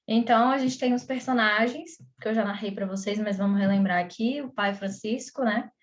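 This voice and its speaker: A woman with a Brazilian Portuguese accent explains her subject in a loose, conversational teaching style, pausing on fillers and restarting her phrases. Her voice sits at an ordinary pitch for a woman. The recording is a little dull and muffled. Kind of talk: explanatory online lesson.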